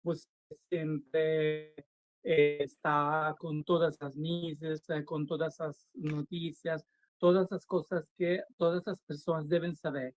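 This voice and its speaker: A man with an Italian accent, a pageant organizer speaking halting, simple Portuguese over a video call. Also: on the high side, flat delivery, no echo, poor audio. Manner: halting, simple phrasing, many pauses.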